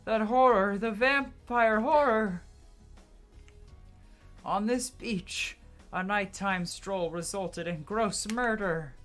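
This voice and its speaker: A player acts out a paranoid video game witness, frantic about horrors. The delivery is loud, high and theatrical, with words stretched out for drama. Soft game music plays underneath. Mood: frantic, horrified. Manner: loud, dramatic, drawn-out exclamations.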